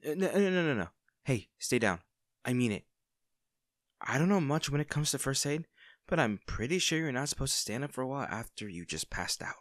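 A man's voice: medium pitch, very animated, fairly quick, fairly bright tone, no room sound, studio quality. A man playing a friendly, caring young barista in an intimate audio roleplay, talking in a casual, hesitant and attentive way. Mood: alarmed. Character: friendly, caring young male barista, teasing and easily flustered.

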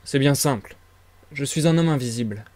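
Man acting an invisible man, audiobook character, commanding, terse, insistent, menacing, medium pitch, dry sound. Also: normal speed, a little mellow, dry recording, lightly acted. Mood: controlled, firm. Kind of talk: dramatized audiobook reading.

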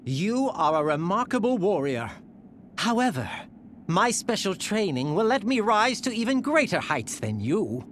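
A brash young fighter in a video game, sure of his own talent and eager to prove it. The voice swings up and down in a lively way, with a light hiss of noise behind it. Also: medium pitch, unhurried. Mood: boastful. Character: boastful, eager young warrior. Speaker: game character. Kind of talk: game voice clips.

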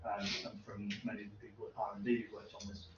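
A man's voice: lowish voice, completely flat delivery, fast talker, distant mic. A man in his thirties answering audience questions as a seminar presenter, explaining things informally and hesitantly. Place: university seminar room, indoors. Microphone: distant camera microphone. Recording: echoey room from afar, dull, hissy.

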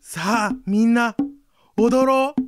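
An actor playing a gentle, devoted young Jomon-era village man in a radio drama. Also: very high-pitched, slow pace. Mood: cheerful. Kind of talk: radio drama acting with storytelling narration. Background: instrumental music.

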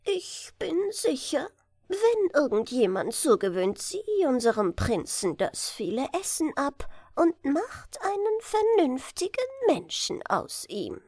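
A woman acts out a male royal chancellor from a storybook. He speaks in a thin, high falsetto, slowly and delicately, coaxing his listeners with care. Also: wildly swooping pitch, a little mellow, no echo, clean studio sound, exaggerated voice. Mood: sweetly persuasive. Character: high-voiced old royal chancellor, male, flattering and scheming.

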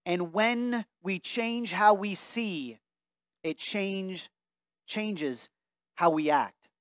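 High-voiced pastor reading scripture. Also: male voice, no echo, clean studio sound. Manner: earnest, reads aloud from a Bible while standing. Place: church sanctuary before a stained-glass wall.

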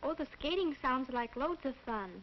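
A polite, sensible teenage girl played by a young woman in a 1940s social guidance film. She speaks high and courteously, over a muffled soundtrack. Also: normal speed, slight background noise, close to an everyday voice. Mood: pleased. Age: young adult. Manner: neat, courteous. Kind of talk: 1940s instructional film acting.

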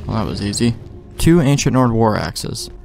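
A man's voice: lowish voice, expressive, moderate pace, creaky. A man giving casual, rambling gameplay commentary with plenty of slang and swearing. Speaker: let's play gamer. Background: game music.

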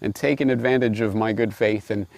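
A middle-aged man presenting and reading aloud in a musing, wry, expressive way, recorded outdoors on a lapel mic. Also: lowish voice, a little fast, gravelly, dry recording, low-quality recording. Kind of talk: reflective commentary and storybook reading. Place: outdoors in a grassy park.

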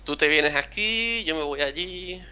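Young male host, mild Andalusian accent, bright tone, rambling casual chat on a call. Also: on the high side, a little slow, smooth. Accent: mild Andalusian Spanish. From Spain, a town near Seville. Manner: rambling, chatty, acts out quotes. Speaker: gaming channel host. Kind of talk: casual chat over a video game.

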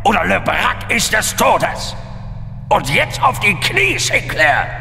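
Slow, creaky, gloating demon lord. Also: male voice, sing-song, sharp tone, exaggerated voice. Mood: menacing. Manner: slow, mockingly courteous, sneering. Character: gloating, cruel male demon lord, mocking and theatrical. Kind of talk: horror radio drama acting. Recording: long booming reverb, music underneath.

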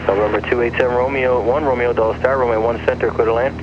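A male tower controller speaks quickly in a medium-pitched voice. He gives clipped clearances through a dull aircraft radio, with clear noise behind him. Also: fairly even intonation. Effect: aircraft radio transmission. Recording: muffled, noisy aircraft radio. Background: aircraft cabin noise.

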